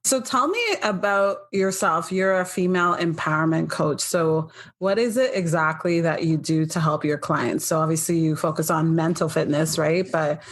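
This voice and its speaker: A woman's voice: low-pitched, moderate pace, hardly any pauses. A woman hosting a podcast interview, asking questions in a casual, conversational way. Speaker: podcast host.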